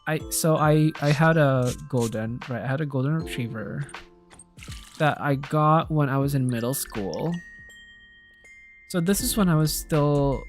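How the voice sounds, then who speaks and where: A woman's voice: sits low, measured pace. A woman from Indonesia chatting casually while playing a cozy game, thinking aloud and telling a personal story. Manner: chatty, thinks aloud, reads game lines and viewer comments aloud. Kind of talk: casual let's-play chat. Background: faint game music.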